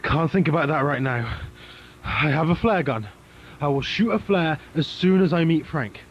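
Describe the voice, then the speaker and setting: A man plays a determined park employee on a dangerous rescue in found-footage horror. His voice is fairly high and his talk is terse and urgent. The sound is dull and lo-fi with faint noise. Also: expressive, moderate pace, lightly acted. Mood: urgent, resolute.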